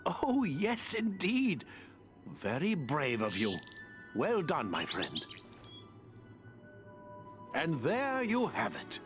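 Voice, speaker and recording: Whimsical old wizard, swooping pitch. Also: male voice, wildly swooping pitch, drawn-out words, pauses often, over music, exaggerated voice. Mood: gentle, amused. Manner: chirpy, meandering, swooping. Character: whimsical, kindly old wizard who talks with birds. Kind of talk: video game cutscene acting.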